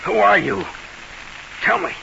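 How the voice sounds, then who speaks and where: A man's voice: sits high, sing-song, unhurried, gravelly, heavily acted. A haunted nightclub manager from an old radio mystery. He is defensive and nervy, with clipped streetwise phrasing. The broadcast is thick with hiss and noise. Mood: suspicious. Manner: jumpy, clipped tough-guy slang.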